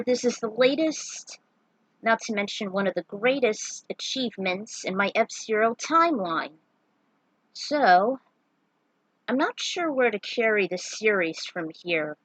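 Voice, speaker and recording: A woman's voice: mid-range voice, fairly bright tone, no room sound, rough recording. Woman reading her own fan story. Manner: earnest amateur reading with small slips. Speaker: fan fiction author. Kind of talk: reading her own story aloud, then a casual afterword.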